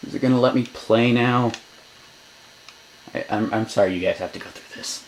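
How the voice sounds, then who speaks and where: A man's voice: medium pitch, moderate pace, a little mellow, slight background noise, rough recording. A man doing casual, joking gameplay commentary, grumbling sarcastically and reading on-screen text aloud. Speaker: let's play commentator. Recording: game music behind the voice. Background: video game music.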